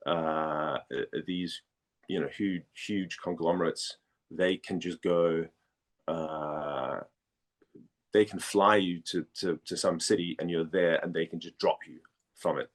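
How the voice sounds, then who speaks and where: A low-voiced man from Denmark chats as an interview guest. He talks casually and haltingly, doubling back on words while he works out what he means. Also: steady tone. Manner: halting, repeats words as he thinks. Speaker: guest, actor and former model.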